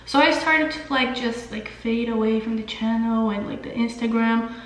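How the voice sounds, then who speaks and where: Echoey, higher-pitched young Brazilian woman. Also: normal speed, a bit bright. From São Paulo, Brazil. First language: Portuguese. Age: young adult. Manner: informal, slangy, candid. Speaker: beauty vlogger. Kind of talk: casual chat to camera while doing makeup.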